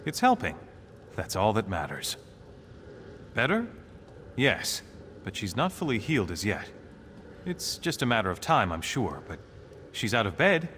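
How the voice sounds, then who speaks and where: A man's voice: lowish voice, huge pitch swings, unhurried, pauses often, a bit bright, a little hiss or hum, exaggerated voice. A man playing a hopeful nobleman and doting husband in a video game, earnest and theatrical as he clings to good cheer. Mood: reassuring, dismissive. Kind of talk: dramatic game dialogue.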